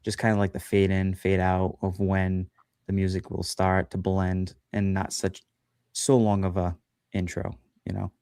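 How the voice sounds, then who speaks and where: Low-voiced man chatting loosely. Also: monotone, a little slow, gravelly, a little mellow, smooth, dry recording, clean studio sound. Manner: rambling, filler-heavy, self-deprecating. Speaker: guest, podcast host. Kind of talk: casual chat on a livestream.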